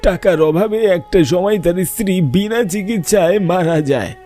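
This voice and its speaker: Guy doing a village watchman uncle, high mellow voice, grave storytelling, faint music. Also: normal speed, lightly acted. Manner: grave, explanatory. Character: solemn village market watchman uncle recounting a legend. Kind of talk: horror cartoon storytelling.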